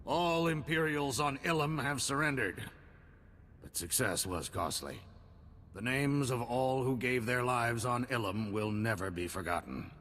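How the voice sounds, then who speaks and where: An actor playing a pragmatic male supreme commander who gives brisk military orders, in a video game. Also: mid-range voice, animated, fairly bright tone, slight background noise. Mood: commanding.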